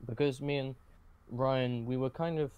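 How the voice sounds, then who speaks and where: A man's voice: medium pitch, fairly even intonation, normal speed, clean tone, muffled recording. A man chatting casually as a podcast guest, hesitant and often correcting himself as he goes. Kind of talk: casual conversation.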